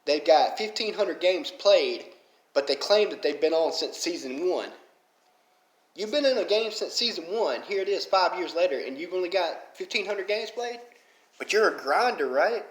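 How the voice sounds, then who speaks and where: A man's voice: high voice, expressive, normal speed, echoey room, poor audio. A man with a strong Southern Alabama accent, talking in a casual rambling monologue, full of "you know" fillers and getting fired up. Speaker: mobile game player.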